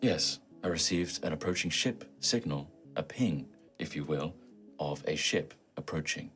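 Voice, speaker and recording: A man voicing a polite, detached ship's computer in a tabletop game, speaking in a measured, formal way. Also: a bass voice, expressive, a bit bright, soft background music, exaggerated voice. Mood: calm, puzzled. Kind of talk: tabletop game role-play.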